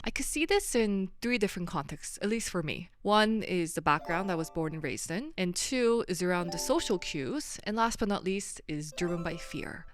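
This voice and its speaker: Clear, smooth woman from South Korea. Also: medium pitch, dry recording, studio quality. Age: 30s. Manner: clearly enunciated, articulate, friendly. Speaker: management consultant and video host. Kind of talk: casual explanatory vlog to camera.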